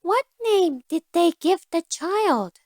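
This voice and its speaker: A woman's voice: very high voice, lively intonation, slow pace, a little mellow, dry recording, clean studio sound, pitched above the performer's own voice, heavily acted. A woman voicing a small, trusting female mouse in a storybook, eager and full of exclamation. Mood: cheerful, curious.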